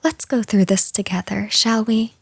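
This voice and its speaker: A woman's voice: medium pitch, steady tone, no echo, studio quality. A woman playing a jealous, possessive, unhinged girlfriend in an intimate roleplay audio drama, taunting the listener with condescending sweetness and menace. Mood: quietly menacing. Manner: slow, taunting, condescending pet names, slipping into breathy whispers.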